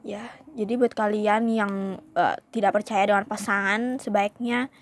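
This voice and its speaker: A woman's voice: mid-range voice, fairly even intonation, measured pace, slight background noise. A young woman vlogger telling a storytime in a casual, chatty way, often calling her viewers "guys" and slipping into English phrases.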